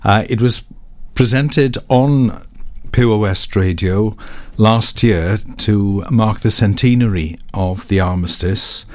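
A man speaking unscripted as a studio interview guest, explaining a story in a measured, halting way. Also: fairly low pitch, a little mellow. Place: radio studio.

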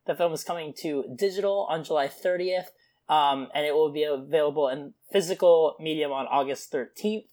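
A man's voice: fairly high pitch, normal speed. A young man co-hosting a pop culture podcast, chatting casually and filling gaps with uh and um. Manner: relaxed, lots of uh and um fillers. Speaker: podcast co-host. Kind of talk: casual chat.

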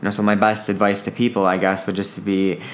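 A man's voice: on the low side, flat delivery, quick pace, slight background noise. Earnest man in his twenties. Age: 20s. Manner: earnest, reflective, repeats phrases. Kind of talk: casual podcast conversation.

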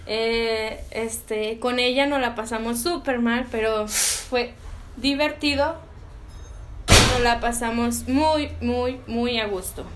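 A woman's voice: on the high side, steady tone, slow pace. A woman in her twenties with a Mexican accent, chatting casually as an interview guest in a giggly, slangy way. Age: 20s. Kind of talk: casual chat. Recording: noisy amateur home recording.

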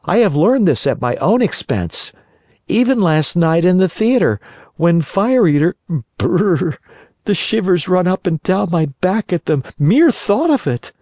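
A storybook reader voicing a naive, excitable wooden puppet boy, full of wonder. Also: medium pitch, measured pace, no room sound, studio quality, voice pushed up. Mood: fearful. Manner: wondering, eager.

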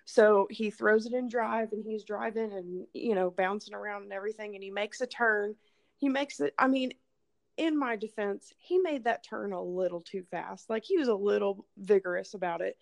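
A hoarse woman, casually telling a rambling, profane story as podcast host. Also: medium pitch, moderate pace. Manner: rambling, swearing, laughing storyteller. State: hoarse, losing her voice from illness.

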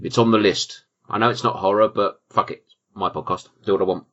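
A man's voice: on the low side, steady tone, fairly quick, a bit bright, highs cut off. A British man hosting a podcast, chatting casually with dry, jokey, sweary banter. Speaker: podcast host. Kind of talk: casual banter and film chat.

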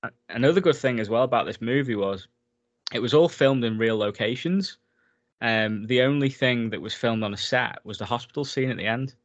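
A man's voice: medium pitch, brisk, dull audio. A man in a casual film recap chat, enthusiastic and talkative, laughing often as he goes. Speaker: podcast co-host.

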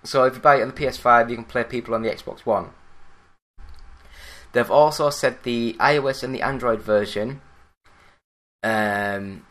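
Podcast host from Yorkshire, English male, average pitch, plain monotone read. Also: moderate pace, pauses often. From Yorkshire, England. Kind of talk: solo gaming news podcast.

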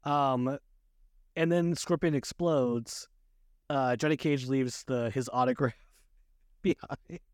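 Male co-host, mid-range voice, dry recording, informal halting pop-culture chat. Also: medium pitch, dry recording. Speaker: podcast co-host. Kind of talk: casual movie and game discussion.

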